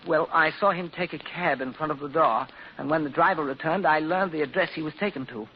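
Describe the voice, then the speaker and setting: A timid, anxious manservant, acted by a man, deferential and fretful, in a slightly high voice. It's 1940s radio acting on a muffled broadcast with faint hiss. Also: fairly quick, lightly acted. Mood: worried. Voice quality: deferential, worried.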